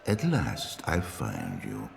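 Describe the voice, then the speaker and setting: An actor playing a menacing, patient hunter in disguise in a game cutscene, mock-courteous and deliberate. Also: male voice, a bass voice, wildly swooping pitch, creaky, heavily acted. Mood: coolly menacing. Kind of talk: dramatic video game cutscene acting. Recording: long reverberant hall, faint noise.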